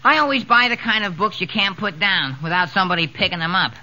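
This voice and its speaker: A man's voice: sits high, lively intonation, fast, pushed and bright, exaggerated voice. An actor playing a wisecracking, girl-shy teenage boy in a 1940s radio comedy. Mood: breezy. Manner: slangy, cocky wisecracks. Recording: dull vintage 1940s radio recording.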